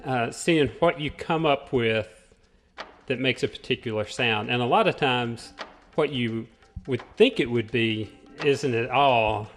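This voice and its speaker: A man's voice: mid-range voice, unhurried, a bit bright, faint music behind. A man from a community theater talking reflectively in an interview. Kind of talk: interview talk.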